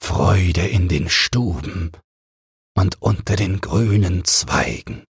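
A man voicing a weary, ancient male ruler of Winter in a solemn fairy tale audiobook, with a gravelly, husky voice. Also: low-pitched, no room sound, exaggerated voice. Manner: slow, solemn.

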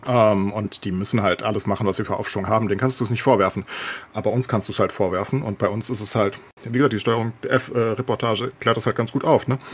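A man with a husky edge to his voice, speaking at a rapid clip. He argues like a podcast co-host among friends, colloquial and opinionated, dropping English swear words into his sentences. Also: lowish voice, fairly even intonation.

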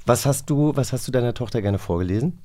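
Guy hosting a relaxed chat show, average pitched voice. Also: fast talker, a little hiss or hum. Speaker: magazine host. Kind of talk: casual interview chat.

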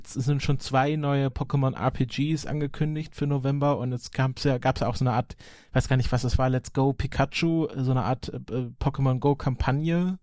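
A man with a medium-pitched voice chats as a podcast co-host. He explains things casually, restarting phrases along the way, in a dry, close sound. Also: darkish tone, dry recording.

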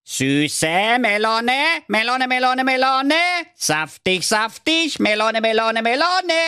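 A man's voice: very high voice, lively intonation, takes it slowly, sharp tone, clean tone, voice pushed up, full character voice. A man voicing a beach melon vendor in a storybook, hawking his wares in a repetitive singsong cry. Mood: enticing.

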